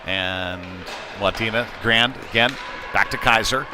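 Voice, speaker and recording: A man's voice: fairly low pitch, a little slow, over music. A man giving live play-by-play hockey commentary in clipped phrases with conversational asides. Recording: noisy rink ambience behind live commentary. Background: sports venue noise.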